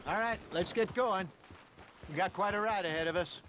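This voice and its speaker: An actor playing a seasoned, genial older outlaw with an American accent and folksy, conversational delivery in a Western video game. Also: male voice, high voice, normal speed, background noise, close to an everyday voice. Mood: genial, purposeful. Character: seasoned old male outlaw, genial, wry, fatherly. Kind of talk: video game voice acting, Western drama dialogue. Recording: loud music and horse hooves behind. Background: music, horse hooves.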